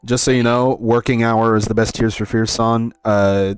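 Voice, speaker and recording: A man's voice: mid-range voice, steady tone, normal speed, smooth, no echo. A young man being interviewed, chatting casually in a laid-back, informal way. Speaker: interviewee, audio series creator. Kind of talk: casual chat. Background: faint music.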